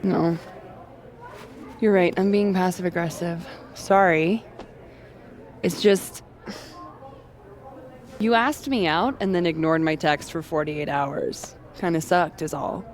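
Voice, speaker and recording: A woman's voice: on the low side, slow pace, pauses often. A woman voicing a blunt, wry young woman with a dry, frank manner in a video game. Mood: hurt, frank. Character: young woman bartender, blunt, wry, easygoing, mildly hurt. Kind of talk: naturalistic video game acting.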